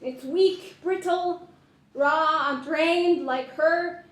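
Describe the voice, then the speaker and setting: A woman's voice: sits high, extremely slow, echoey room. An actress playing a young woman who reads her diary notes aloud and breaks into laughing sobs. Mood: measured. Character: young woman actress unraveling under a harsh coach. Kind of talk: audio drama acting.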